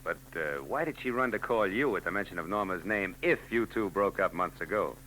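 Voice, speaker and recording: A man's voice: deep voice, animated, a little fast, pushed and bright, rough recording. A man playing a wisecracking hard-boiled private eye in a 1940s radio drama, curt and tough. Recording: hissy old radio tape with hum.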